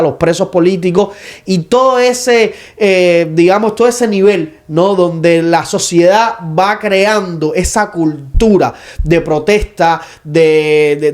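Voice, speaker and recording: A man's voice: sits high, darkish tone. A young Cuban man speaking Spanish with a Cuban accent, co-hosting a podcast panel debate in long explanatory turns full of "eh" fillers. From Cuba. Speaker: podcast co-host.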